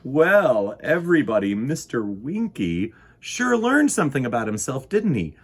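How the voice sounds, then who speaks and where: Very animated, slow-paced male storyteller. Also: medium pitch, husky. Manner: expressive, dramatic, playful with sound words. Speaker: children's storytime host. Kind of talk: theatrical picture-book reading aloud.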